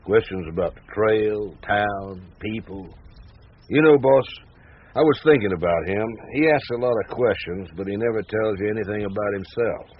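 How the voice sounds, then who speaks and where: An actor playing an easygoing, laconic ranch hand with a folksy drawl from the American West, in a radio drama. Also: male voice, fairly low pitch, normal speed, breathy edge, dry recording. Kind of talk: naturalistic radio drama acting. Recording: tinny narrow-band radio sound, background noise.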